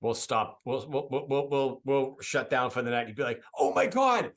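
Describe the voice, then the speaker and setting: A middle-aged man with a Boston accent hosting a podcast, chatty and quick with questions, laughing often. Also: medium pitch, expressive. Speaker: podcast host. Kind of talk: casual interview chat.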